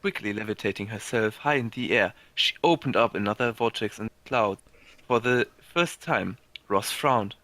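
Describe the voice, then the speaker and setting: A man's voice: on the low side, moderate pace, pushed and bright, no room sound. A man narrating a fan fiction story informally, stumbling over words as an amateur reader.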